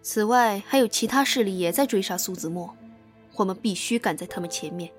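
A woman's voice: medium pitch, brisk, soft background music, close to an everyday voice. A woman as a serious, formal young assassin. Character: dutiful, serious, calculating young female assassin. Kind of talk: Chinese costume audio drama acting.

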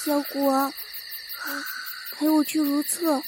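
A woman's voice: high voice, flat delivery, slow pace, noisy background. A woman acting a chatty, boastful young maidservant in a Chinese period audio drama. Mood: pleading.

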